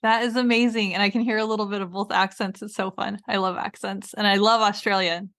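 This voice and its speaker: A woman's voice: mid-range voice, steady tone, fairly quick, fairly bright tone. A woman hosting a podcast, reading a polished intro and then chatting in an upbeat, playful way. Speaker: podcast host. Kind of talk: scripted intro then casual chat.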